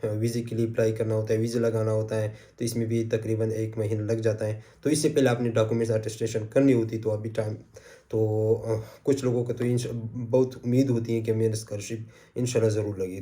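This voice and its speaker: Brief: male channel host, a bit hoarse, very dark mellow tone, casual explainer. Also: lowish voice. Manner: easygoing, repeats points, frequent "uh" fillers. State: sore throat. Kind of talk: casual advice talk to camera.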